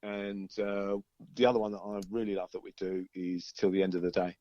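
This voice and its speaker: Middle-aged male musician, interview guest. Low voice, hesitant rambling chat, muffled close dry recording. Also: flat delivery, a little fast, warm tone, no echo. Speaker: interviewed musician, band member. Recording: dull, lo-fi band-limited line.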